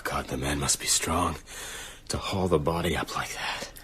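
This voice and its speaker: An actor playing a cautious, practical doctor in a radio horror drama, measured and matter-of-fact. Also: male voice, very deep voice, sharp tone, a little hiss or hum. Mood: grimly clinical. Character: cautious, practical male doctor in a vampire hunt.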